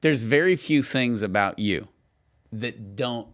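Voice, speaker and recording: A man's voice: mid-range voice, slow pace, a bit bright. A middle-aged man co-hosting a podcast, telling stories and teasing in casual, emphatic, filler-heavy banter. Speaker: podcast co-host.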